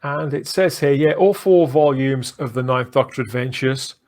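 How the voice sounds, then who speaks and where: Male podcast host, mid-pitched, chatty and discursive, with keen fan enthusiasm. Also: moderate pace, darkish tone.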